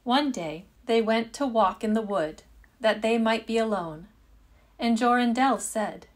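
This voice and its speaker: A woman narrating a fairytale in measured, deliberate storybook phrasing. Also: on the high side.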